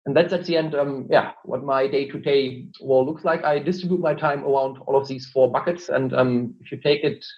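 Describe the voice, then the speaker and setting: A man's voice: medium pitch, steady tone, brisk, few pauses, lo-fi sound. German-accented man explaining in an interview. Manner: explanatory, many fillers and "look". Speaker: marketing director, guest. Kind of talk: interview answers.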